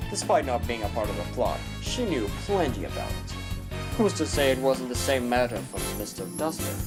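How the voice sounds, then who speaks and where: A man plays a haughty cartoon bird prosecutor in an audio drama, with a pompous, theatrical delivery that swings widely in pitch. He talks down to everyone in the courtroom, over clearly audible music. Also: sing-song, moderate pace, lightly acted. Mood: forceful, confident. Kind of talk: fan audio drama acting.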